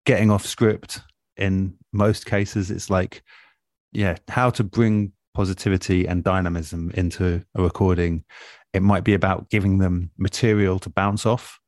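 Deep-voiced man giving thoughtful advice. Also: level tone, a little slow, a little mellow, no room sound, studio quality. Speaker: interview guest, audio drama producer. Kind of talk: relaxed advice-giving conversation.

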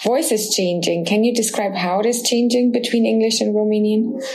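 A woman's voice: mid-range voice, steady tone, moderate pace, a little mellow, slight background noise. A woman conducts a conversational interview. She asks open, simple questions and listens attentively, offering brief responses.

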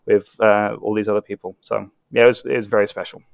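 A man's voice: on the low side, little pitch movement, quick pace, vocal fry, no echo. A man with a non-American accent, a critic talking casually on a review panel, earnest and hesitant with many fillers. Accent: non-American English.

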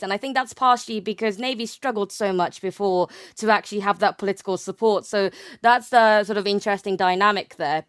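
A woman's voice: medium pitch, clean tone, no echo, clean studio sound. A young woman speaking as a guest expert, explaining conversationally and often searching for the right words. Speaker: guest expert, PhD researcher in war studies.

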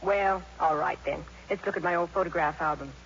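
An actress playing an indulgent young mother, reminiscing with gentle wryness in a 1950s radio drama. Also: deep voice, fairly quick, gravelly, slight background noise. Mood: nostalgic. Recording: muffled vintage radio broadcast with music.

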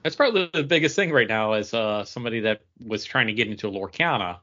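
A man with a fairly low voice joins a podcast as co-host. He speaks in casual conversation. The recording sounds slightly muffled and lo-fi. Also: expressive, quick pace, bright, forceful tone. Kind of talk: casual chat. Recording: dull lo-fi recording.

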